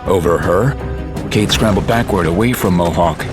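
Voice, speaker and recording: Deep-voiced guy narrating an audio drama, steady pace, music playing underneath. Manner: steady, measured storytelling.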